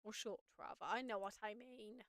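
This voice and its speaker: A woman with a slightly raspy voice, casually walking viewers through audio editing on a live stream and thinking aloud as she works. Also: mid-range voice, fairly even intonation, moderate pace, a bit bright, no room sound, clean studio sound.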